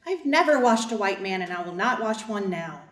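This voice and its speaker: The sound is roomy and slightly distant. A mid-pitched female voice, a woman acting a stubborn, fearful household servant, performs and narrates in a readers' theater drama. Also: expressive, normal speed, a bit bright, close to an everyday voice. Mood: defiant. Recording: echoey room, distant microphone.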